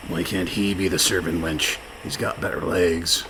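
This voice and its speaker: An actor playing a surly, bloodthirsty pirate with a sardonic, salty way of talking in a comedy radio play. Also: male voice, deep voice, flat delivery, normal speed, noisy background, close to an everyday voice. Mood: grumbling.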